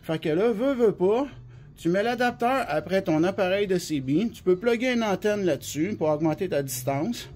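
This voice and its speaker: A notably high-pitched man speaks with a Québécois French accent while fighting the flu. He explains products informally, step by step, in colloquial language. He often repeats his points as he demonstrates. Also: lively intonation, moderate pace, a little mellow. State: has the flu, coughing now and then. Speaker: CB radio specialist giving a product demonstration. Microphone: phone held by the speaker.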